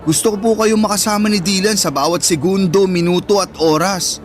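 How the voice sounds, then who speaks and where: A man's voice: high voice, fairly even intonation, a little fast, lightly acted. A man acting an affectionate young adult son in a Tagalog radio drama, politely and earnestly cajoling his mother. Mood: hesitant pleading. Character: affectionate, coaxing grown son, respectful and eager. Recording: music bed under the voice.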